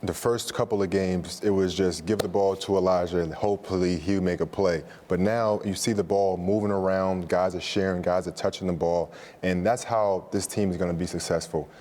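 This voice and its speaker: A man's voice: sits low, steady tone, normal speed, darkish tone, slight background noise. An American man giving conversational sports analysis as a studio analyst, building points in short repeated phrases. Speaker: studio analyst, former college player.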